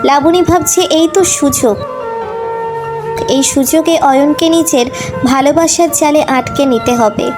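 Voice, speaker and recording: A woman's voice: sits high, moderate pace, over music. A woman reading scripted narration for a romantic audio story.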